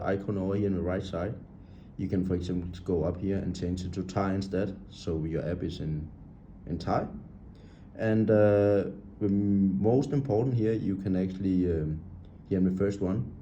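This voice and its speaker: Deep, very dark-voiced man explaining an app. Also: lo-fi sound, slight background noise. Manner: halting and talkative, pointing at screen items, non-native grammar. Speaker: app tutorial presenter. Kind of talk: unscripted screen-recorded app walkthrough.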